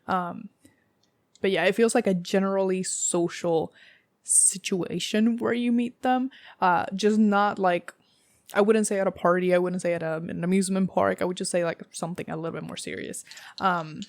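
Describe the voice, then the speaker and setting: Raspy-voiced woman giving a rambling tarot reading. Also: on the low side, steady tone, normal speed, no room sound, studio quality. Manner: rambling, filler-heavy, casual swearing. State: raspy from a sore throat. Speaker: tarot reader. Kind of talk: casual pick-a-card tarot reading.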